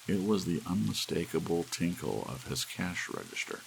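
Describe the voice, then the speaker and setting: A man reading an audiobook story in a dramatic, hushed storytelling style, as a narrator. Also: low-pitched, moderate pace, creaky, rough recording. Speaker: audiobook reader. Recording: steady hiss of soft rain. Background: soft rain.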